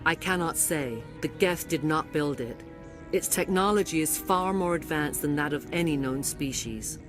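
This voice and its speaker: A woman playing a regal middle-aged alien matriarch in a video game, speaking in a grave, formal, measured way. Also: sits low, music in the background, natural voice. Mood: somber, confiding. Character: regal alien matriarch, a mother enthralled by a villain. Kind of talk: dramatic video game acting.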